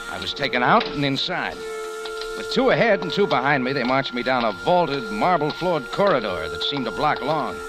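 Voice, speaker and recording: An actor playing a tough, defiant American cafe owner with clipped, wry delivery in a vintage radio noir. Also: male voice, fairly high pitch. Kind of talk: 1940s radio noir narration and acting. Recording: old radio recording with music.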